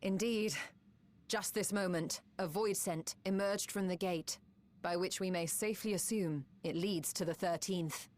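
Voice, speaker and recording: A woman's voice: on the low side, fairly even intonation, measured pace, darkish tone, close to an everyday voice. A woman playing a composed, commanding scholarly sorceress in a fantasy video game, formal and precise.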